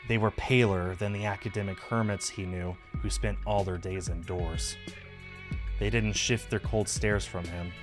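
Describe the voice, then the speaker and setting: A man's voice: deep voice, steady tone, moderate pace, over music. A man reading a visual novel aloud on a stream as scripted story narration.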